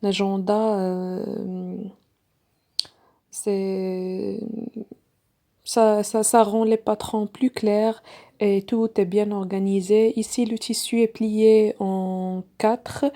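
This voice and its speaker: Slow, mellow-voiced woman teaching sewing. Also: medium pitch. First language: not French. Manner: hesitant, many fillers and restarts. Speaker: sewing instructor. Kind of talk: step-by-step sewing tutorial.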